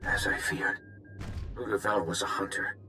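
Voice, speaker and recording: A man's voice: on the low side, fairly even intonation, normal speed, sharp tone, faint music behind. A man voicing a stern, solemn alien in a video game, speaking in formal, clipped sentences. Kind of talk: video game cutscene acting.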